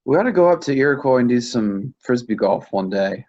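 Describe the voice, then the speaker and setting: A man's voice: mid-range voice, expressive, brisk, darkish tone, close to an everyday voice. A man in a staged play reading as an easygoing husband chatting casually with friends, heard through dull, lo-fi audio.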